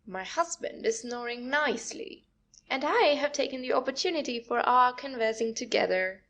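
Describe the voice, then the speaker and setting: An actress playing a secretive gentlewoman slipping out to meet her lover in a classic comedy audio play, in a hushed manner. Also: high voice, normal speed. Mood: furtive.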